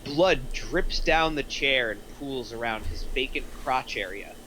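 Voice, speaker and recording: A man reads screenplay stage directions as narrator. His voice is medium in pitch and notably bright, with faint noise in the recording. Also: measured pace. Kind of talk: scripted narration at a table reading.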